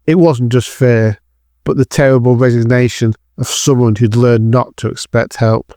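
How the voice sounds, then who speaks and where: A man's voice: medium pitch, steady tone, moderate pace, warm tone, no room sound, studio quality. A man narrating a scripted dramatic thriller as the storyteller of an audio drama.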